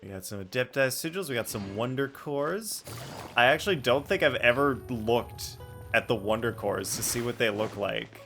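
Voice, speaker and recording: A man's voice: mid-range voice, animated, normal speed. A man streaming a video game, giving casual running commentary as he plays. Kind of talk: casual live gameplay commentary. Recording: game music behind.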